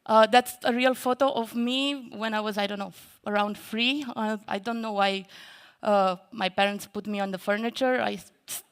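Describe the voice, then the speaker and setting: A middle-aged woman from Romania speaks from a large event stage with a smooth, clear voice at a middle pitch. She talks in a nervous, self-deprecating way and fills her sentences with ums and uhs. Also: steady tone, normal speed. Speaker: conference speaker. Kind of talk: keynote talk.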